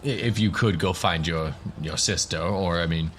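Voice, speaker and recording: A man's voice: low-pitched, moderate pace, fairly bright tone, slight background noise, voice pushed down. A man voicing a flustered, eager professor who rambles and fumbles politely in a radio-play tabletop game. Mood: flustered, hopeful.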